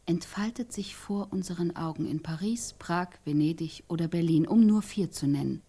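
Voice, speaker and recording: An actress playing an insistent, exacting scriptwriter who invents a tragic love scene in a stylised radio play, speaking deliberately and precisely. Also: female voice, lowish voice, dark tone, husky. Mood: dramatic, measured. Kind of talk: stylised radio drama acting.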